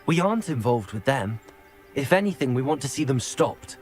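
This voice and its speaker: An actor playing a composed young wizard student who speaks in a polite, measured and dry way. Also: male voice, mid-range voice, sing-song, faint music behind, lightly acted. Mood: calm. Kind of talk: video game voice acting.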